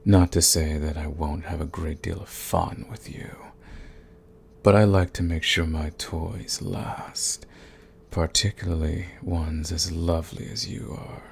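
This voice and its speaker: Casting: male actor as ancient vampire lord, very low gravelly voice, silky, dominant and sadistic, for ASMR roleplay with faint background noise. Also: fairly even intonation. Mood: taunting, possessive. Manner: menacing, silky, taunting, formal and deliberate. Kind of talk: ASMR roleplay audio drama.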